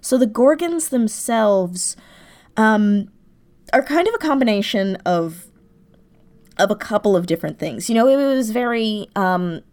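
A woman's voice: mid-range voice, expressive, unhurried, a little mellow. A woman speaking as a guest in a casual interview, effusive and emphatic, often repeating words to stress a point. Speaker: interview guest, audio drama writer. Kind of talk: enthusiastic casual interview chat.

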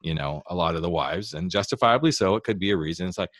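A man hosting an interview who works as a therapist, speaking in a measured, reflective way. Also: sits low, fairly bright tone, dry recording, clean studio sound. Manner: measured and reflective, builds long thoughts. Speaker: host, therapist. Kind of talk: conversational interview.

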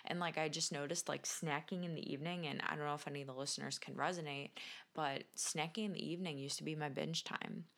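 A woman's voice: low voice, fairly even intonation, quick pace, gravelly, a bit bright. A woman chatting casually on a podcast, talking reflectively about her own experience. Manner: reflective, sharing personal experience. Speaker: podcast speaker on health and nutrition. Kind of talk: casual conversational chat.

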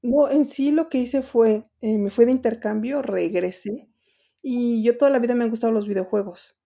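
A woman's voice: fairly high pitch, normal speed, vocal fry, warm tone. A middle-aged Mexican woman, an interpreter, answering interview questions in a rambling way with many fillers and restarts. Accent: Mexican Spanish. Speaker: interpreter and translator guest.

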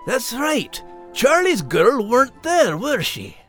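A man's voice: very high voice, wildly swooping pitch, slow pace, fairly bright tone, soft background music, voice pushed up, heavily acted. A man voicing a folksy, teasing animal podcast host with a Scottish accent. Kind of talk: scripted children's podcast character banter.

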